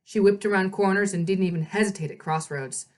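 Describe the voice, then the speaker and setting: A woman reading a story aloud as narrator, in an expressive storybook style. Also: medium pitch, a little fast.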